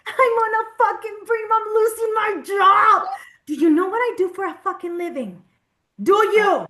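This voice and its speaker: A woman acts out an enraged patient with a very high voice. She is combative and sarcastic, slipping between English and as she spirals through a heated drama scene. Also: normal speed, bright, forceful tone. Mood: furious, distraught. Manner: confrontational, swearing, switches between English and Spanish. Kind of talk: heated dramatic acting at a table read.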